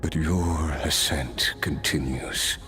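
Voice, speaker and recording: A man playing a weary, courteous cursed warrior in a dramatic video game, speaking in a solemn, formal, measured way. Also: very deep voice, steady tone, pushed and bright, faint music behind, exaggerated voice. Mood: weary acceptance.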